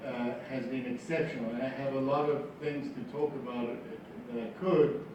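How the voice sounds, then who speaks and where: A man's voice: medium pitch, level tone, moderate pace, lo-fi sound. A South African man giving an informal business speech with wry, self-deprecating humor, repeating phrases for emphasis. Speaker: business founder, guest speaker. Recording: very echoey room, distant mic, noisy.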